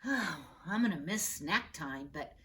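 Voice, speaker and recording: A woman's voice: deep voice, animated, measured pace, a bit bright. A woman reading aloud voices a playful young schoolboy. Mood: cheerful.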